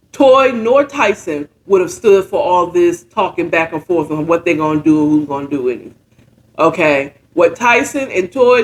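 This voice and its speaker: A woman informally retelling a story in a halting, conversational way, often checking in with her listeners. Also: fairly low pitch, low-quality recording. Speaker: storyteller retelling a book chapter.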